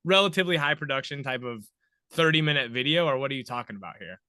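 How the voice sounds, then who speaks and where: A man's voice: medium pitch, expressive, fast talker, bright, forceful tone, smooth, dry recording. A man in his thirties hosting a podcast, chatting informally and thinking aloud as he goes. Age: 30s. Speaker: podcast host. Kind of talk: casual conversational interviewing.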